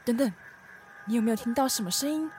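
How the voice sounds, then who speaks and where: A woman acting an excitable, alert young temple guard in an audio drama, with an expressive delivery. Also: on the high side, quick pace, slight background noise, lightly acted. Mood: alarmed.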